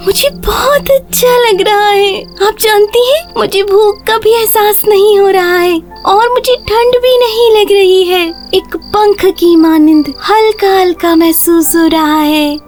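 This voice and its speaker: Tiny innocent cartoon girl, super high pitch, sweet pleading polite talk, soft music behind. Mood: happy. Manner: polite, childlike, plaintive. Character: innocent poor little match girl, pleading and tender. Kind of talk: children's cartoon story voice acting.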